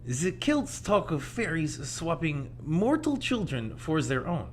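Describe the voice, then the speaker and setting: Little old eccentric, high swooping voice, erudite, theatrical, genial, audio play, faint hum. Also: male voice, sing-song, slow pace. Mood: genial, musing. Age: older. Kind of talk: audio drama acting.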